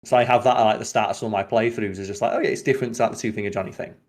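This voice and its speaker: A man's voice: lowish voice, fairly even intonation, racing. Casual, opinionated man podcasting. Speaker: podcast host.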